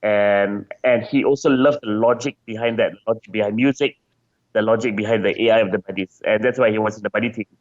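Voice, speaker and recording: A man's voice: fairly low pitch, steady tone, fairly quick, highs cut off. A man being interviewed as a game designer, talking casually and chattily, often repeating words as he searches for phrasing.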